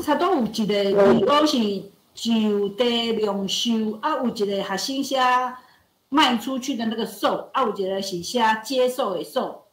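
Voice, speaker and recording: Higher-pitched woman student, echoey sound. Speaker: class participant asking a question. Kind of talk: casual, polite question. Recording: echoey online class audio.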